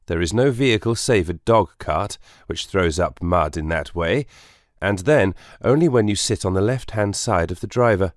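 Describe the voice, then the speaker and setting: An actor playing a courteous, self-assured Victorian gentleman detective in an audiobook drama, precise and soothing. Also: low voice, animated, moderate pace, dry recording, clean studio sound. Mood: confident, deductive. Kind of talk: full-cast audiobook drama acting.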